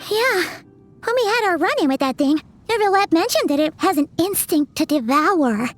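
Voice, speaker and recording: A woman voicing a small, childlike fairy companion in a video game, excitable and anxious in manner. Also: very high-pitched, moderate pace, soft background music. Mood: curious. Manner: refers to self in third person, exclamatory.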